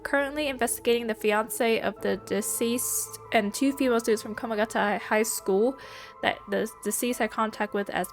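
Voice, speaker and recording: A woman playing a game, reading its dialogue aloud and commenting playfully in between, her voice stuffy from allergies. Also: a little high. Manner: reads lines and then comments playfully. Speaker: let's play gamer.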